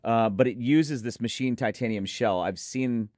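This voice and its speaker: A man's voice: mid-range voice, moderate pace, darkish tone, no room sound, clean studio sound. A man co-hosting a product preview, speaking emphatically and effusively and repeating points for emphasis. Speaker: audio gear reviewer and co-host. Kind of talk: enthusiastic product preview talk.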